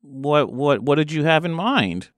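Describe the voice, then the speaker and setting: A man takes part in improvised tabletop role-play. His pitch moves around a lot as he asks polite, tentative questions, recorded dry and close. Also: animated, a little slow, dry recording. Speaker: tabletop game player.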